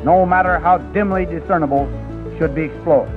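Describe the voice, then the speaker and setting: Archival speech clip: a man with a high voice, solemn and slow, music underneath. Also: narrow, tinny audio. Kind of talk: formal 1940s speech.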